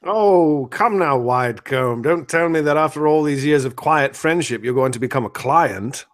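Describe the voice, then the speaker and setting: A man playing a genteel, affable gentleman detective, stammering and theatrically courteous, in an old-time radio drama spoof. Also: a little high, normal speed, close to an everyday voice. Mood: amused, curious.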